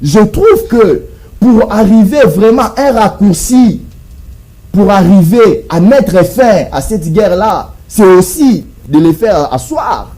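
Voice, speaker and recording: A Congolese man argues as a guest in a political debate. His voice sits high and swings widely in pitch, with a mellow tone. He is rhetorical and emphatic, hammering repeated phrases and posing questions that he answers himself. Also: animated, takes it slowly, rough recording. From Democratic Republic of the Congo. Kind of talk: impassioned televised political debate.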